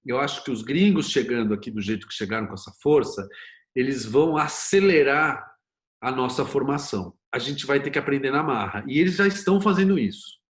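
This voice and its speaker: A man's voice: medium pitch, animated, brisk. A middle-aged man with a Brazilian accent, speaking as an interview guest in a colloquial, opinionated, conversational way. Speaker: interview guest, series producer and screenwriter. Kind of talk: conversational interview talk with anecdotes.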